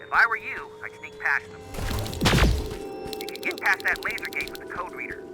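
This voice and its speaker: A man's voice: sits high, animated, normal speed, loud music behind the voice. A man voicing a nervy resistance operator who guides a soldier remotely in a video game. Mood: cautioning. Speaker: mission guide over radio. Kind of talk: acted video game dialogue.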